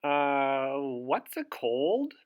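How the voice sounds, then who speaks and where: A man's voice: mid-range voice, big pitch swings, drawn-out words, smooth. A man voicing a naive, puzzled male character from a children's storybook with an innocent manner.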